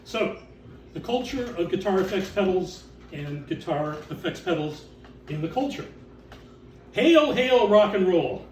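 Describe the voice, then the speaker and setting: A man reciting written text as author and curator, in a theatrical, deliberate way with drawn-out emphasis. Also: high-pitched, expressive, a little mellow. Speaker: author and exhibition curator reading aloud. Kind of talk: dramatic recitation of catalog text to an audience. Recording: roomy, distant mic with background noise.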